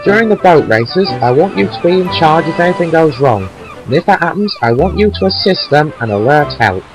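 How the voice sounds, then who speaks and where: A man's voice: a little high, sing-song, a little fast, over music, rough recording. A man playing a firm but kindly railway boss in an amateur audio drama, giving instructions formally.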